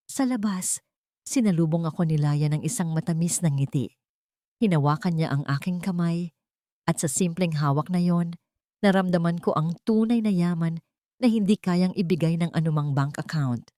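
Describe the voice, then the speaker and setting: A woman's voice: deep voice, darkish tone, no room sound, clean studio sound. A woman with a Filipino accent reading a scripted dramatic story in an earnest, sentimental manner. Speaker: audio story narrator.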